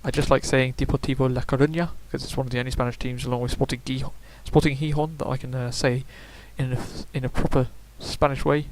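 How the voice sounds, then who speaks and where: A man's voice: medium pitch, level tone, quick pace, hardly any pauses, a little hiss or hum, low-quality recording. Chatty young man rambling predictions. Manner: chatty, rambling, frequent fillers and self-corrections. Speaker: gaming YouTuber. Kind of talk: casual predictions commentary.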